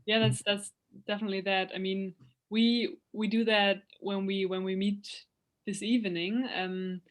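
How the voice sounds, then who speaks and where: A young woman moderates an online event in a mid-pitched voice. She is friendly and a little hesitant, often filling pauses with "um" and "uh". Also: little pitch movement. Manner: friendly, hesitant, many fillers. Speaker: presenter.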